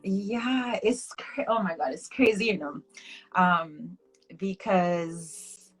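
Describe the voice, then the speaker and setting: A woman's voice: mid-range voice, slow, soft background music, rough recording. A woman in her twenties from Trinidad and Tobago with a Trinidadian accent, talking casually as an interview guest, reflective and chatty with frequent ums. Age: 20s. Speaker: interview guest, hair artist.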